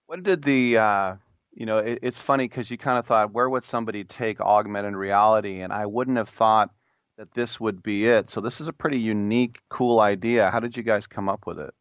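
A man's voice: on the low side, fairly even intonation, brisk, no room sound, dull audio. A man interviewing a guest, asking questions in an easygoing, chatty way.

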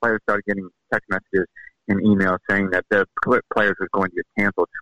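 A man reports from the scene in a quick, bright, pressing voice, laying things out step by step. The sound is dry and close. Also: sits low, level tone, dry recording, lo-fi sound. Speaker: golf reporter on site. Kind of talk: rapid on-air report.

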